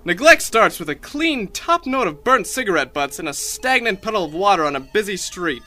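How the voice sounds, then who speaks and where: A man's voice: sits high, very animated, moderate pace, pushed and bright, heavily acted. A young man playing a mock-earnest, grandiose announcer in a parody 1930s radio advertisement. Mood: grandly persuasive. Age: young adult. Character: earnest smooth-talking male 1930s radio sponsor announcer. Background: music.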